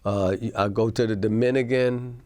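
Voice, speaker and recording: Male host, low-pitched, casual and rambling, dry close sound. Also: fairly even intonation, moderate pace, darkish tone, dry recording. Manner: casual, rambling, asks "right?" often. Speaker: talk show host. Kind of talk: talk show interview.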